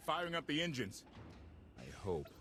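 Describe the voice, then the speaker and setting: A man playing an excitable, wisecracking starship pilot in a video game. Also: on the high side, normal speed, faint music behind. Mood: brisk. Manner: wisecracking, exclamatory. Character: brash, wary male starship pilot, excitable.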